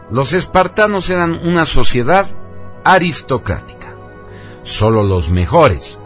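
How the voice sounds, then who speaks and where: A man presenting on radio, reading a scripted narration in a declamatory, emphatic manner. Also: medium pitch. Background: loud background music.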